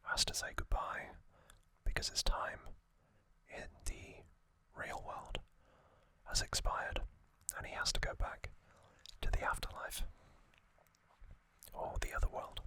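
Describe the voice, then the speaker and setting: A man whispering trivia as an ASMR host into a close microphone, softly, with frequent ums and uhs. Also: slow. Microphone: close sensitive mic.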